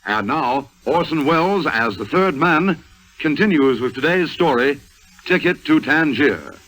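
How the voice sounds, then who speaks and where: A man's voice: fairly high pitch, animated, measured pace, fairly bright tone, poor audio. A man announcing a drama programme in scripted 1950s radio style. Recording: old radio recording with music behind.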